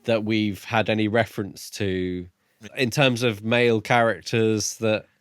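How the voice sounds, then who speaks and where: Male book podcaster, slightly deep voice, dry sound, casual, reflective and a little tentative. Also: fairly even intonation, a little slow, clear, resonant tone, no room sound.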